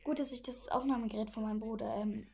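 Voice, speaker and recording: A woman's voice: on the high side, steady tone, fast, gravelly, dark tone, husky. A female performer playing a determined, conspiratorial schoolgirl detective in a children's audio drama. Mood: triumphant. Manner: earnest, conspiratorial.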